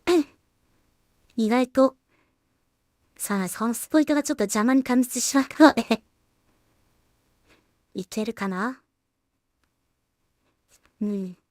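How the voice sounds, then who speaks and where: A woman's voice: on the high side, a little slow, long pauses, darkish tone, dry recording, clean studio sound. A woman thinking aloud in short fragments as she works, casually commenting on her creative process as a solo creator. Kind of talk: casual muttering to self while working.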